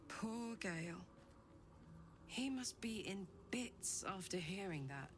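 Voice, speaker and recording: A woman playing a blunt, excitable, informal adventurer in a video game. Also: mid-range voice, slow. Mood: indignant. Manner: informal, exclamatory, swearing. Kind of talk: video game character acting. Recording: loud music behind the voice.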